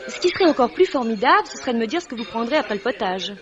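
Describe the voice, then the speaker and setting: Hot-tempered young waitress, high voice, deadpan wit, brisk, vintage radio play, muffled, busy room behind. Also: female voice, a crowd in the background. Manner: deadpan, quick-witted. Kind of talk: 1950s French radio comedy-drama acting. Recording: muffled vintage radio, background restaurant bustle.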